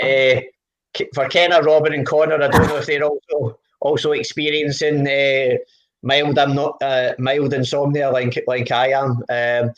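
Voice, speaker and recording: A man's voice: on the high side, dull audio. A man hosting an online live music session, chatting casually and rambling with many fillers and restarts.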